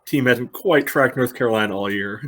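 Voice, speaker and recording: A man's voice: medium pitch, fairly quick, fairly bright tone. A man with a mid-range voice chats about sports. He speaks casually and conversationally, as one of a podcast panel.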